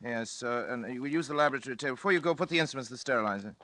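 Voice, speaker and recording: A man acting in an old-time radio suspense drama as an obsessed surgeon-scientist, with a brisk, authoritative, intense manner. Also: medium pitch, pushed and bright, natural voice. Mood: brisk, commanding. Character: obsessed, commanding male surgeon-scientist, a father. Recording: vintage radio broadcast recording.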